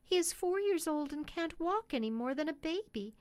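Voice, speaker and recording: A woman's voice: sits high, lively intonation, moderate pace, no room sound, pitched above the performer's own voice. A woman voicing a poor young country mother in an audiobook story, earnest, polite and pleading. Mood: worried, pleading.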